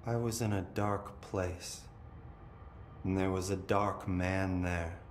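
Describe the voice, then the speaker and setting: An actor playing a possessed, dream-obsessed brother, eerily serene and unhinged, musing in slow, deliberate fragments in a video game. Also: male voice, deep voice, steady tone, roomy sound, slight background noise. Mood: eerily calm. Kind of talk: cinematic game dialogue, rambling monologue.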